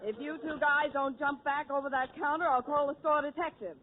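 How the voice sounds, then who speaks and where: A woman's voice: high voice, steady tone, a little fast. A woman guest star giving wry comebacks in a 1940s radio comedy. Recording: lo-fi 1940s radio broadcast with hiss.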